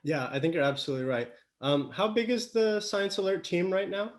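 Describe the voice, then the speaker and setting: A man's voice: sits high, fairly quick. A man hosting a podcast, asking questions in a conversational interview style. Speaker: podcast host.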